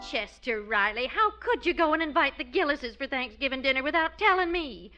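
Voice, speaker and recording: A woman's voice: high voice, expressive, brisk, sharp tone, soft background music. An actress playing a proper, fretful housewife and mother in 1940s radio comedy, with a scolding manner. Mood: exasperated. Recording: dull vintage 1940s radio recording.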